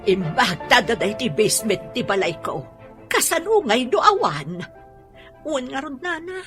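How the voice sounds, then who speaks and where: A woman's voice: fairly high pitch, sing-song, a little slow, a bit bright, faint music behind. A woman with an Ilocano accent playing a worried, motherly older woman sheltering from war in a radio drama. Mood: fretful. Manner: fretting, exclamatory.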